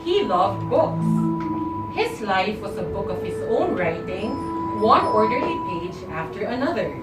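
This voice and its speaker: Female storytime reader, teacher type. Dramatic, drawn-out delivery with lively pitch swings, heard from a distance over loud music. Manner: dramatic, with drawn-out words for emphasis. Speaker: teacher reading a picture book aloud. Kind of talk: expressive storytime read-aloud. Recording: roomy, distant mic under loud music and crowd. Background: loud music and crowd noise.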